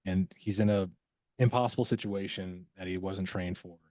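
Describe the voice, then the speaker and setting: Low, mellow-voiced man talking reflectively. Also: fairly even intonation, no echo. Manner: halting, reflective, repeats words. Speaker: voice actor guest. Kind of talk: casual podcast interview.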